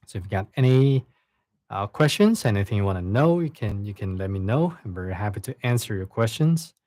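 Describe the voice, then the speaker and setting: A young man with a Chinese accent, a native Chinese speaker chatting casually on a live stream, soft-spoken and hesitant, often repeating words. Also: fairly low pitch, animated, brisk, no echo. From China. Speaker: live streamer reading stories.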